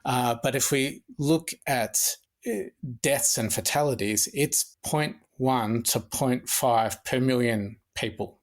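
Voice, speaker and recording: Measured Australian man explaining medicine. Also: medium pitch. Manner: measured, methodical, with frequent restarts. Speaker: emergency physician guest. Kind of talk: podcast interview, explanatory.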